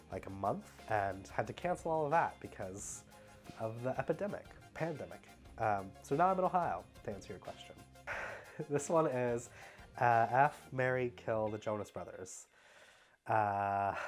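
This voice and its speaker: A young man with a stuffy nose, talking casually at home in a rambling, halting way full of fillers. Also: on the low side. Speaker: travel vlogger. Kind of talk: casual vlog Q&A.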